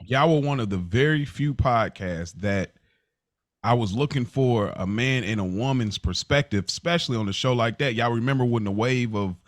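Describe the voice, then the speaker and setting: A man's voice: mid-range voice, normal speed, no room sound, studio quality. A man co-hosting a podcast in casual chat, speaking informally with slang and an appreciative manner. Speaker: podcast co-host and producer.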